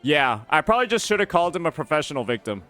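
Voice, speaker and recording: A young man with a high, bright voice picks apart a clip with incredulous, mocking asides. His delivery is casual commentary. Faint music runs beneath. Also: very animated, very fast. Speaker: commentary youtuber. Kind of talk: reaction commentary.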